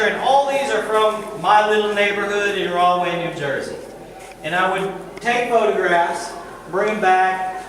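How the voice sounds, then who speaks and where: Echoey, high-pitched American man giving a talk. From Missouri, United States. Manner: deliberate, dramatic repetition and short phrases. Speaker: artist and teacher giving a talk. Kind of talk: storytelling artist talk to gallery visitors. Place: indoor gallery, recorded from a distance. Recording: very echoey gallery hall, distant mic, noisy.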